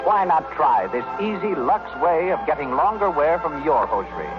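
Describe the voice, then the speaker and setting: A 1930s American radio announcer, a man with a high-set voice and a grand, declamatory delivery. He reads scripted copy formally. Music plays clearly behind him on a muffled old broadcast recording. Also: big pitch swings, moderate pace.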